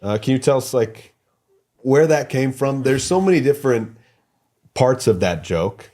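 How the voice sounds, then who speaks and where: A man co-hosting a podcast, asking a comedian guest relaxed interview questions. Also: medium pitch, measured pace. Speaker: podcast co-host. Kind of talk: casual podcast chat.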